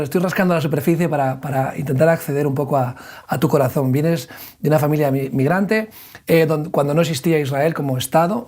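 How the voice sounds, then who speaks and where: A man's voice: on the high side, darkish tone. A man hosting a radio interview, leading the guest with long questions and comments. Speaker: radio show host.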